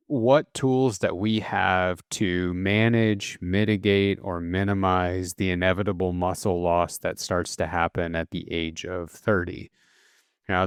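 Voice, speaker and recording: A man hosting a solo podcast, explaining at a relaxed pace with casual fillers and self-corrections. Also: low-pitched, smooth, no echo, studio quality. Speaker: podcast host.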